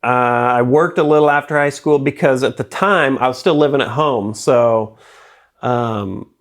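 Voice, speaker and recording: A man's voice: medium pitch, measured pace. A man in his forties, a guest in a casual interview, talking in a rambling, self-deprecating way and laughing often. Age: 40s.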